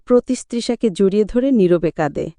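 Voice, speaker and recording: A woman's voice: medium pitch, normal speed, mellow, dry recording, clean studio sound. A woman reading a scripted, dramatic retelling of a film as a movie explainer. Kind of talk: scripted dramatic story narration.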